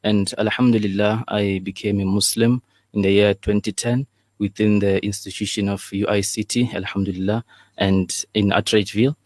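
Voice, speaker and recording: A man from South Africa introduces himself on a studio panel. His low voice barely rises or falls, and he speaks steadily. The dry room keeps the sound close. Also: little pitch movement, normal speed, darkish tone, dry recording. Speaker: staff member, panel guest. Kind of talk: self-introduction. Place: broadcast studio.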